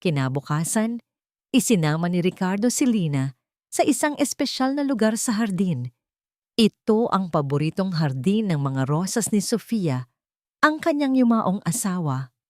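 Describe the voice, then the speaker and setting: A woman narrating a scripted, sentimental audio drama in measured, dramatic short phrases. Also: mid-range voice, sing-song, no room sound, clean studio sound. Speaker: storyteller, narrator.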